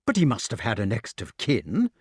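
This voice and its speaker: Man playing a steady, practical knightly lord. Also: medium pitch, normal speed, slightly rough, dry recording, studio quality. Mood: surprised.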